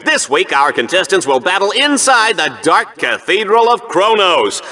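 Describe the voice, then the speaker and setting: Cocky game-show announcer, piercing forceful tone, swooping delivery, bombastic sci-fi video game commentary. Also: male voice, high voice, big pitch swings, moderate pace, full character voice. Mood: excited, bombastic. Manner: showy, cocky, mock-dramatic. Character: smug, hammy male sci-fi arena game-show announcer.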